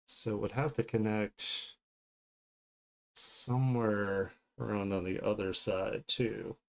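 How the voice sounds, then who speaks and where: A man's voice: lowish voice, steady tone, slow, creaky. A man chatting casually on a livestream as he draws, thinking aloud in a playful, self-deprecating way. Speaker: streamer and illustrator. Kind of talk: casual livestream chat while drawing.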